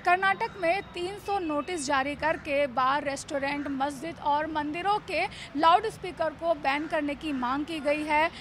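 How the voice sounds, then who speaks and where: Very high-pitched woman news reporter, bright voice. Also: a little slow, hardly any pauses, slight background noise. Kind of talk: news field reporting and interview.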